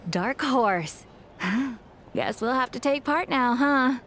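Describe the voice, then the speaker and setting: Playful young drinker gal in a video game, very unhurried, lively highish voice. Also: female voice, a little hiss or hum, full character voice. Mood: excited. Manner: casual, playful. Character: spirited young woman, easygoing drinker, playful and casual.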